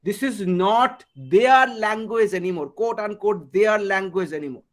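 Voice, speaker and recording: Man, Indian English accent, high voice, teacher, quizzing the class, video call. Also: unhurried. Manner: asks the class questions, repeats words for emphasis. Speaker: English communication trainer. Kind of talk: live online workshop lecture.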